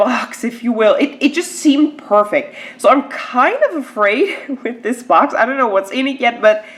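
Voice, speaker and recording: A woman with a stuffy nose from a cold, chatting casually to camera as she unboxes a beauty box, with lots of "like" and "um". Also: fairly high pitch. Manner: chatty, filler-heavy, lots of "like" and "um".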